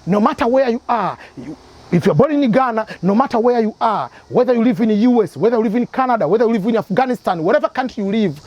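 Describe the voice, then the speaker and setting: A man's voice: sits high, darkish tone. A man from Tanzania, hosting an advice channel and explaining his points through repeated examples. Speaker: immigration advice channel host.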